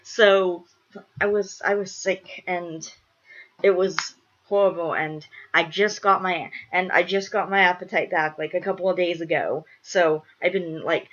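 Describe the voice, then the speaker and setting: Congested young woman rambling casually, slightly low voice. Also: steady tone, measured pace, fairly bright tone, muffled recording. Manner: repetitive, emphatic, restating phrases. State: congested from a cold. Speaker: vlogger. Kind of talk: casual rambling chat to viewers.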